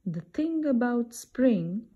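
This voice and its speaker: A woman's voice: on the high side, sing-song, slow pace, breathy edge, lo-fi sound, voice pushed up, full character voice. A woman voicing a grumbling, reluctant male rabbit in a children's storybook, with a complaining manner.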